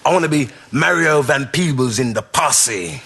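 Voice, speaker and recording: An actor playing a reluctant, grumbling young boyfriend in a sitcom. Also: male voice, mid-range voice, animated, normal speed, bright, forceful tone, slightly rough, exaggerated voice.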